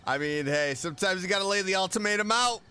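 A man's voice: sits high, quick pace. A man giving casual, offhand playthrough commentary as a gaming streamer. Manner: reactive, offhand interjections. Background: faint game music.

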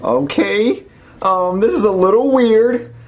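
A man's voice: high voice, slow pace. A young man voicing a bewildered plush hero in a comic puppet skit at home, exclaiming in confusion. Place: suburban house indoors.

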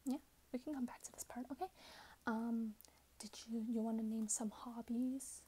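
A woman in her twenties speaking softly and breathily, often in a whisper, in a casual ASMR roleplay as a shop assistant chatting with a customer. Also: fairly high pitch, fairly even intonation, moderate pace, husky. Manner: casual, conversational, hesitant pacing as if chatting with a customer. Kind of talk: soft-spoken ASMR roleplay. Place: small plain room indoors.

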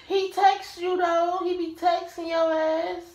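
A young American woman chatting casually in an animated, brash way, swearing heavily as she banters with friends. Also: very high-pitched, slow pace, reverberant, poor audio. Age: young adult. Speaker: vlogger. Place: small home room.

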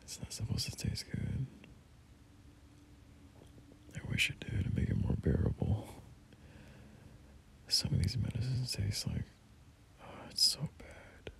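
A young man playing a caring, soothing boyfriend in an ASMR roleplay, murmuring gently and hesitantly in a breathy, gravelly half-whisper. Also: medium pitch. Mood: rambling, amused. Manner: gentle, hesitant, unhurried reassurance.

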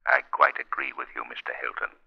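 An actor playing a sinister, mocking invisible avenger in 1930s radio style, formal and menacing through a filter. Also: male voice, quick pace. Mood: eerie, mocking. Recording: dull lo-fi 1930s radio recording.

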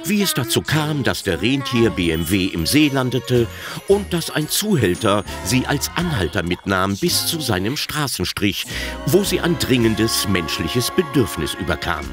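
A man's voice: mid-range voice, very animated, unhurried, music in the background. A man narrating a scripted comedy audio drama as its storyteller.

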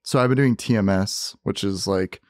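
A young man, a podcast producer, chatting casually and telling stories in a halting, slangy way. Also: on the low side, no room sound, studio quality. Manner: hesitant, slangy storytelling full of "like". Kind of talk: casual anecdotal chat.

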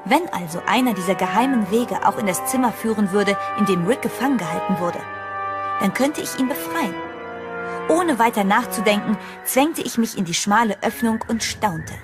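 A woman's voice: medium pitch, lively intonation, moderate pace, natural voice. An actress playing a frightened young woman who narrates her ordeal in a horror audio drama, dramatic and suspenseful. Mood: tense, bewildered. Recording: music behind the voice.

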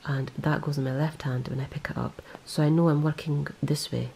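Low gravelly woman, rambling knitting chat. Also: fairly even intonation, brisk, warm tone, slight background noise. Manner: rambling, self-correcting, lots of ums. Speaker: knitting vlogger. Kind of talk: casual show-and-tell. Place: indoors, close-up on hands and yarn.

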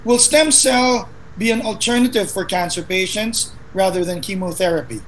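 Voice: mid-range voice, moderate pace, poor audio. Moderator on video call, hesitant, reads questions, faint hiss. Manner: hesitant, many uh fillers. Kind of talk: reading audience questions.

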